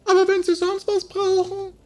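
An eager little shop assistant at an undertaker's, pipes up in an extremely high, squeaky voice. The clipped sales talk stays on one narrow note, as in an improvised radio play. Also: male voice, monotone, normal speed. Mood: eager, businesslike. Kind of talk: improvised audio adventure.

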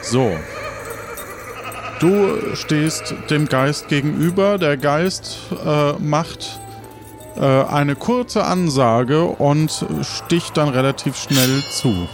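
A man's voice: on the high side, very slow, over music. A man narrating an audio role-playing game as game master, telling it suspensefully.

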